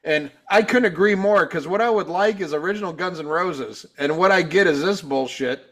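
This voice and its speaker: Blunt, swearing fifty-one-year-old host. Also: male voice, sits high, normal speed, a bit bright. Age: 50s. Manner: blunt, profane, laid-back. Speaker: podcast host. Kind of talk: casual chat.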